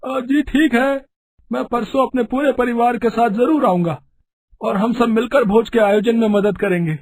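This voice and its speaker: A woman voicing an earnest, anxious village man in an animated storybook tale. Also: fairly high pitch, moderate pace, close to an everyday voice. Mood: grateful, earnest. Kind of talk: animated storybook character acting.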